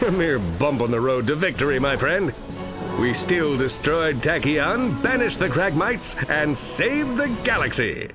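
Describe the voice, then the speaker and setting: An actor playing a pompous, dim-witted, boastful space superhero in a cartoonish video game, bombastic and goofy. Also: male voice, on the high side, sing-song, measured pace, music in the background. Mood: smug. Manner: bombastic, self-important, goofy.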